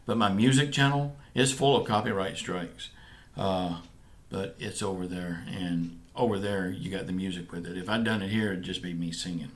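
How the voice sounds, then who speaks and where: A man with a Southern US accent, casually answering viewer questions as a vlog co-host, easygoing and a bit rambling. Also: deep voice. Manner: easygoing, rambling, halting with fillers. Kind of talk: casual chat.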